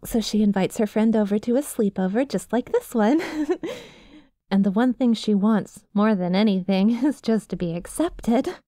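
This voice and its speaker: A woman's voice: mid-range voice, moderate pace, warm tone, dry recording, studio quality, lightly acted. A woman playing an obsessive, lovesick young best friend in an intimate ASMR roleplay, sweet and nervous with menace underneath. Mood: nervous, confessing. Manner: sweet, nervous, pleading, with giggles and emotional swings.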